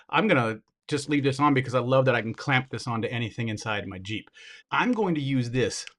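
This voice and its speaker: Upbeat man demonstrating gear on camera. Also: mid-range voice. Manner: upbeat, enthusiastic, addresses viewers directly; talks while handling gear, brief pauses and asides. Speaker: product reviewer. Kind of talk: hands-on product demo.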